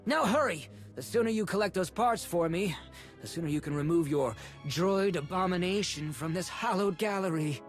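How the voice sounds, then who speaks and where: A man's voice: high voice, moderate pace, close to an everyday voice. A man playing a fussy, haughty curator and collector in a video game, speaking in pompous, theatrical phrases. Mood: haughty, flustered. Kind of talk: video game character acting. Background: music.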